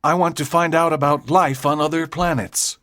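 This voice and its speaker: A woman voicing a brave teenage schoolboy who speaks calmly and earnestly. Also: deep voice, moderate pace, dry recording. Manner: calm and earnest.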